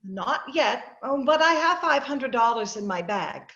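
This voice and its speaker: A worried, proper middle-aged lady acted by a woman for a radio mystery. She speaks hesitantly and earnestly in a slightly high voice. The sound is dull and noticeably roomy, like a video call. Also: expressive, a little slow, a bit bright. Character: polite, earnest, troubled middle-aged well-to-do wife. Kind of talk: old-time radio drama acting.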